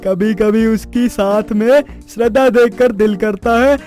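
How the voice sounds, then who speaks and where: Wisecracking young husband played by a man, very high male register, wry cartoon banter. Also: steady tone, unhurried, darkish tone, faint music behind. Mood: joking. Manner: teasing, wry.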